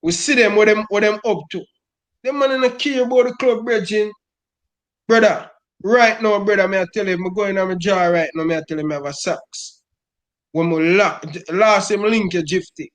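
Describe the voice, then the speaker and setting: Middle-aged Jamaican Patois man, high voice, emphatic fan rant, keeps saying "brother.". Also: normal speed. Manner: emphatic, repeats "brother" constantly. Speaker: football fan guest.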